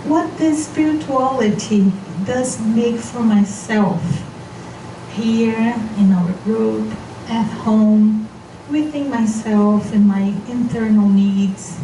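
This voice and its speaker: A woman whose first language is Portuguese, leading a spiritual seminar in English, speaking carefully and gently guiding her listeners. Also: a little high. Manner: slow, careful, gently guiding, with frequent restarts. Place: meeting hall of a spiritist society. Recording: echoey hall, voice from far off, noisy.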